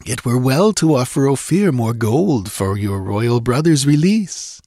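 A man's voice: mid-range voice, lively intonation, a little slow, mellow, no echo, clean studio sound, pitched above the performer's own voice. A man voicing a haughty, affected aristocratic count in a fantasy story. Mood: smooth. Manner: affected, indignant.

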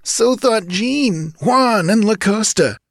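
A man's voice: sits high, animated, slow, gravelly, fairly bright tone, dry recording, studio quality, pitched above the performer's own voice, heavily acted. A man voicing a grim, superstitious bandit henchman, sullen and insistent, in an audiobook adventure. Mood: sullen dread.

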